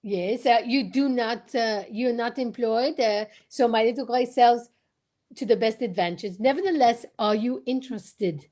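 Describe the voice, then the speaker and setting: A woman's voice: fairly high pitch, unhurried, a little mellow, natural voice. A woman playing a courteous, shrewd foreign male detective in an old-time radio drama, speaking formally with French words sprinkled in. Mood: courteous, hesitant.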